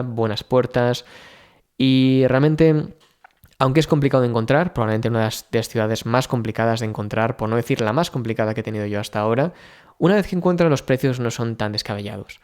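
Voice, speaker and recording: A man's voice: medium pitch, darkish tone, clean tone. A man from Spain talking casually in a vlog, thinking aloud with many fillers and restarts. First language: Spanish. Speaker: vlogger. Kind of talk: casual personal vlog talk.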